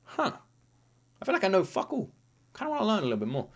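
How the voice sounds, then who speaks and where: A man's voice: high voice, wildly swooping pitch, fast talker. A man hosting a reaction video, talking casually and emphatically with informal, sweary enthusiasm.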